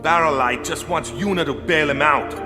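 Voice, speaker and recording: A man's voice: a little high, normal speed, natural voice. Blunt, protective man, acted, echoing. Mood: irritated. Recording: echoey hall reverb with music.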